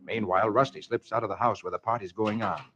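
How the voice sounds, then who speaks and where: A man's voice: on the low side, fairly even intonation, quick pace, a bit bright, faint music behind. An American man hosting and narrating comic-strip stories in 1940s children's radio style. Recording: muffled, noisy vintage radio recording.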